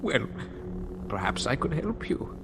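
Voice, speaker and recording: Slow speech over noisy ambience: a marooned old hermit in an audio drama. He pleads haltingly and dramatically, with a sly, cunning edge and a breathy voice that swings in pitch. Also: big pitch swings. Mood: sly, coaxing. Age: older. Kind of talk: fan audio drama acting.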